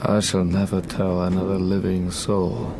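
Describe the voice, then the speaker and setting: An obsessed young gothic scientist in an audio drama. His voice is low and gravelly, his delivery formal, measured and intense, with a haunted gravity. Clear noise sits under the voice. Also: monotone. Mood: haunted, bitter. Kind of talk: audio drama acting, first-person narration and dialogue.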